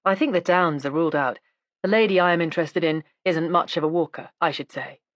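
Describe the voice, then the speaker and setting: Woman reading as a composed, low-toned male inspector. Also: brisk, no echo, dull audio, natural voice. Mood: calm, discreet. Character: composed, genial male police inspector, discreet and courteous.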